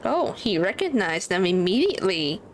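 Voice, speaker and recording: A woman's voice: mid-range voice, very animated, moderate pace, a little hiss or hum. A woman streaming a game, giving casual commentary with muttered, slangy reactions. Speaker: gaming streamer.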